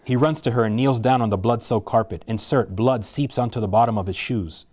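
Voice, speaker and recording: A man reading a screenplay's stage directions aloud at a table reading, steady and vivid. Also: mid-range voice, brisk.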